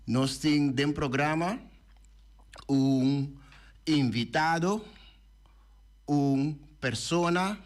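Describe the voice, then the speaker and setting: A man hosting a radio talk show, speaking slowly and hesitantly and switching between languages as he opens and interviews a guest. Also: medium pitch. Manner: slow, hesitant, mixes languages. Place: radio studio.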